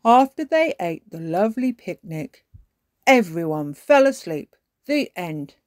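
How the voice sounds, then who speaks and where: A woman's voice: lowish voice, very animated, a little slow, dry recording, clean studio sound. Lady doing a grandpa pig, older guy, genial and confident, sing-song storybook voice. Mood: genial, assured. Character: helpful, self-assured elderly grandfather pig. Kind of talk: children's storybook character voice.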